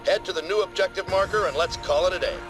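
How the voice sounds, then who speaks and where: An actor playing a confident, brisk, upbeat soldier from an elite squad in a video game. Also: male voice, high-pitched, natural voice, poor audio. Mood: confident, satisfied. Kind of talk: video game character acting. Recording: loud music behind the voice.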